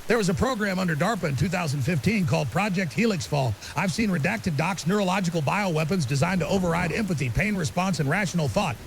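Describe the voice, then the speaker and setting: A man's voice: sits high, expressive, quick pace, gravelly, few pauses. A man playing a grim, urgent conspiracy theorist as a guest on an apocalypse podcast drama, emphatic and ominous in clipped, certain statements. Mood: grim, insistent. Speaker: interview guest. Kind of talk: fictional apocalypse podcast interview. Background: rain and faint hiss.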